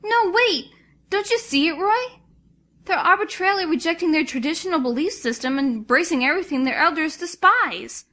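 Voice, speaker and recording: A persuasive young rogue from a fantasy cartoon, acted by a woman. She argues shrewdly in a high voice. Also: expressive, fairly quick, sharp tone, heavily acted. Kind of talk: cartoon fan dub acting.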